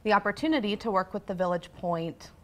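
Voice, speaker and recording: A woman's voice: mid-range voice, lively intonation, fast talker. A young woman representing a farm bureau, explaining steadily on a TV set. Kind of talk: morning show interview. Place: TV studio set.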